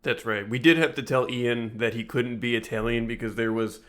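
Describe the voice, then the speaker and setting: A man's voice: mid-range voice, fairly even intonation, moderate pace, fairly bright tone. A man co-hosting a comedy podcast in casual chat, riffing in a dry, mock-serious way. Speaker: podcast co-host.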